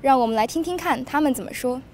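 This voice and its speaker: A woman host giving a scripted introduction in a measured, formal way. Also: sits high, noisy background. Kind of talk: scripted narration.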